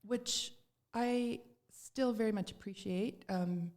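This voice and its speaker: A woman's voice: a little high, slow pace, a little mellow. A middle-aged woman from Canada, a podcast guest telling her story in casual chat, with lots of fillers and restarts.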